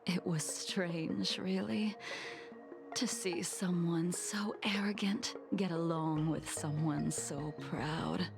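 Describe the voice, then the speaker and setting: Slow, low-voiced cold ancient swordswoman. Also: steady tone, music in the background. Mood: wistful, reflective. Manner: slow, measured, formal, cryptic. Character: cold, ancient swordswoman reminiscing about fallen comrades. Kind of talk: scripted dramatic video game dialogue.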